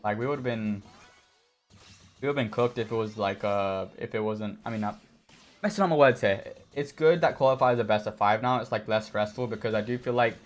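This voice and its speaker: A man with a hoarse, worn voice casually commenting on his own match, easygoing and rambling with many fillers. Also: lowish voice, brisk. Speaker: esports player reviewing his own match.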